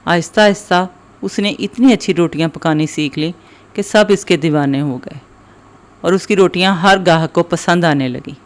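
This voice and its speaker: A man's voice: a little high, normal speed. A man narrating a scripted moral story for children.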